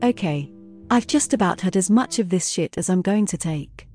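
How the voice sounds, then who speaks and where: A male narrator voicing a fierce, fed-up woman officer who speaks in a sharp, defiant way, over background music. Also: high voice, lively intonation, moderate pace, voice pushed up. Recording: music playing behind.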